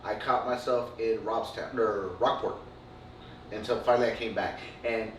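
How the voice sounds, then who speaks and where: A man's voice: lowish voice, steady tone, normal speed. A man telling long rambling stories in casual chat, full of fillers and swearing. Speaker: podcast co-host. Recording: roomy, distant mic, faint room noise.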